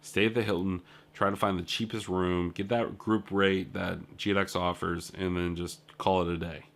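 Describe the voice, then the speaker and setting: Young male indie dev, lowish voice, loose rambling video-diary storytelling. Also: flat delivery, normal speed, creaky. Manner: rambling storytelling with filler words and asides. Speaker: indie game developer. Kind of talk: casual video diary recap.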